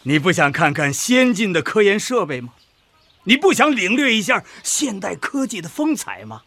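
Radio drama casting: pompous older professor, husky, lecturing, hugely animated delivery. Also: male voice, sits high, normal speed, full character voice. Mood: persuasive, grand. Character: pompous older male agricultural research professor. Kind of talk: Chinese radio drama acting.

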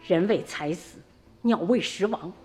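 A woman's voice: on the low side, measured pace, dark tone, in a large hall, soft background music, lightly acted. An actress playing a principled rural mother in a radio drama, firm and admonishing toward her son. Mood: stern.

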